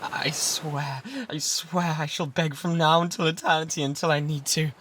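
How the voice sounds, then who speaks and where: A man with a British accent playing an obsessive, lovesick pirate in an intimate ASMR roleplay, breathy and often whispering, pleading theatrically and devotedly. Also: fairly high pitch, little pitch movement, moderate pace, fairly bright tone, close to an everyday voice. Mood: desperate pleading. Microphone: close binaural microphone. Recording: close binaural recording with background ambience noise.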